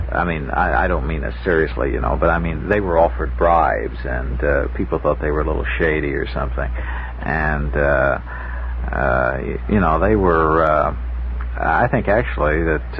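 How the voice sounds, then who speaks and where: Low-voiced wry man on tinny old radio. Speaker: radio talk show guest. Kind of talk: casual panel chat. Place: radio studio. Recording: noisy tinny 1960s radio broadcast recording.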